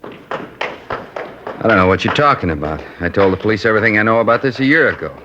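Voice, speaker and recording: An actor playing a defensive, irritable suspect who answers curtly and sarcastically in a 1950s radio drama. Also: male voice, lowish voice, expressive, fairly quick, pushed and bright, a little hiss or hum. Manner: curt, sarcastic, evasive. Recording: dull lo-fi 1950s radio recording.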